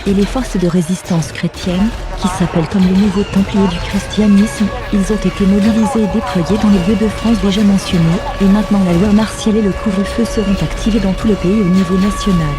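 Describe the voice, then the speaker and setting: Emergency broadcast announcer, mid-pitched synthetic voice, formal scripted delivery, clear music underneath. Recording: music behind the voice.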